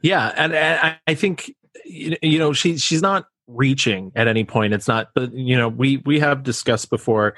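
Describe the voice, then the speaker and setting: A man with a Midwestern American accent co-hosting a casual film podcast, talking in a halting, restarting way. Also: medium pitch, a bit bright, no echo, studio quality. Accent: generic Midwestern American. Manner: hesitant, lots of restarts, laughs. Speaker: podcast co-host.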